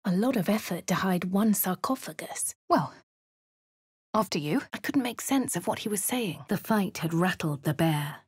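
A posh adventurer heroine. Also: female voice, on the low side, expressive, moderate pace, slightly rough, dry recording, clean studio sound. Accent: posh English. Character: posh female adventurer, wry and composed. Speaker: voice of the player character. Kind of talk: game voice lines and narration.

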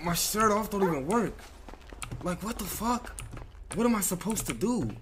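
A man's voice: sits high, lively intonation, normal speed, background noise. An American man reacting to a game on a livestream, incredulous and profane, often repeating himself. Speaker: game streamer. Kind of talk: livestream gameplay reaction, roleplay.

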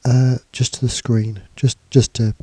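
Male tutor, stuffy from a cold, very dark and husky tone, relaxed and halting delivery, dry close sound. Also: medium pitch, no echo. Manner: casual, hesitant with fillers and restarts. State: has a cold, bunged up. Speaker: computing teacher. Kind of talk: screencast tutorial. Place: indoors, voice-over on slides and screen recording.

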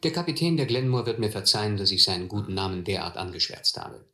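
A wry former vagabond narrates a radio play reflectively. His voice is lowish and bright-edged, with a roomy sound. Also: animated, fast. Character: grown man looking back wryly on his vagabond youth.

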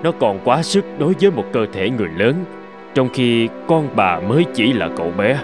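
An actor playing a grave, sorrowful middle-aged doctor in a cartoon, speaking in a solemn, measured way. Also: male voice, medium pitch, big pitch swings, music in the background, close to an everyday voice. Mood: grave, regretful. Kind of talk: Vietnamese cartoon voice acting.

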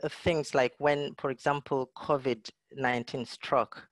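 Measured man lecturing over a video call. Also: medium pitch, steady tone, no room sound. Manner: thoughtful, frequent "you know" and repeated words. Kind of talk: online lecture with slides. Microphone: video call webcam.

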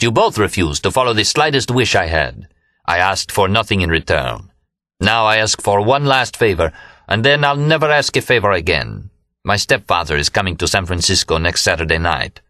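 Narrator playing wounded young man, audiobook character, earnest, courteous, pleading, formal, bright voice. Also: on the low side, dry recording, studio quality. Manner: quiet, sincere, formal.

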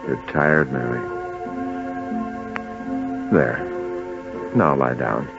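An actor playing a tender, protective, soothing husband in a 1940s radio drama. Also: male voice, a bass voice, big pitch swings, a little fast. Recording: dull old radio recording, music behind.

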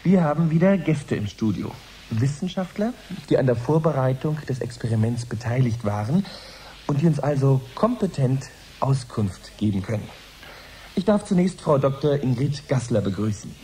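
Radio play casting: man acting formal science broadcaster, courteous, measured, dark warm tone, lightly noisy old recording. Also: medium pitch, expressive, natural voice. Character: courteous male radio science reporter hosting live broadcast. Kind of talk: radio play styled as live news broadcast.

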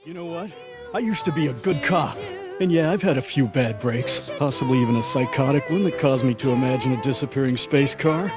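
A man's voice: medium pitch, fairly quick, music in the background, lightly acted. An actor playing a downtrodden but earnest young American policeman in a video game, given to self-pity. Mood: rueful resolve.

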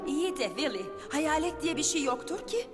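A woman's voice: sits high, big pitch swings, moderate pace. A woman voicing a firm, reassuring adult bee teacher in a cartoon dub, reasoning with the young ones. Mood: exasperated. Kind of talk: cartoon dubbing. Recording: reverberant hall, music behind.

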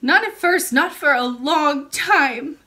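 Woman acting a little princess, high swooping pitch, childlike, curious, polite, innocent, storybook reading. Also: lively intonation, slow pace, bright, forceful tone, exaggerated voice. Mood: apologetic. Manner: childlike, eager questioning.

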